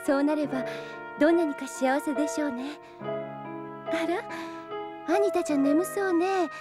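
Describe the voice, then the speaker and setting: High-voiced caring big sister. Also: female voice, a little slow, loud music behind the voice. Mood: gentle, caring. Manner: gentle, polite, motherly. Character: kind, caring young woman, protective older sister. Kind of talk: anime audio drama acting.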